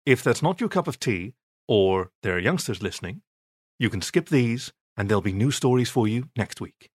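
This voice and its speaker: Low-voiced man announcing over prominent guitar music. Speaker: podcast host introducing a story. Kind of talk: scripted announcement. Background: plucked guitar music.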